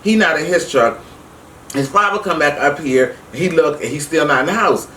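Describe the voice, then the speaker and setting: Animated woman storyteller in a roomy space. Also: sits low, normal speed. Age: middle-aged. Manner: animated, emphatic, acts out quoted dialogue. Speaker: vlog host. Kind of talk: casual personal storytelling to viewers. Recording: roomy, distant mic with faint noise.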